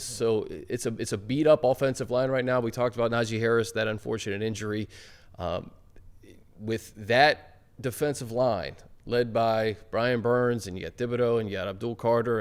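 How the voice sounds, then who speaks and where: A man's voice: lowish voice, smooth. A man with an American accent hosting a sports podcast and discussing football in a casual way.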